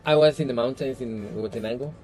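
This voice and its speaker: A man's voice: mid-range voice, fast, a crowd in the background. Earnest man, Spanish native speaker. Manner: earnest, frequent "you know" and restarts. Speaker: interviewee. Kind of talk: casual interview answers.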